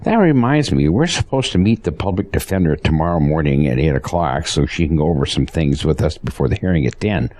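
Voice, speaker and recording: An actor playing a devout, steadfast pastor in an audio drama, speaking in an earnest, resolute way. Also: male voice, a bass voice, expressive, a little fast, few pauses, a little mellow. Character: devout, steadfast male pastor facing persecution, married.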